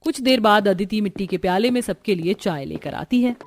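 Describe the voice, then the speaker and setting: A woman's voice: medium pitch, brisk, faint music behind. A woman narrator reading scripted narration for a cartoon family story.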